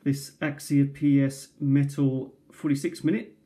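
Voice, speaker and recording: A man casually showing his cassette tape collection at a kitchen table, recorded on a tablet, pausing often with ums and restarts. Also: mid-range voice, little pitch movement, dark tone, slight background noise. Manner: hesitant, many ums and restarts. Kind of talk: casual show-and-tell. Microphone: tablet camera mic.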